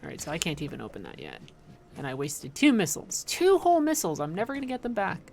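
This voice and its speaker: A woman's voice: sits low, wildly swooping pitch, fast, gravelly, slight background noise. A woman doing casual livestream game commentary, chatting informally with viewers and rambling into playful asides. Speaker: livestream host playing a video game.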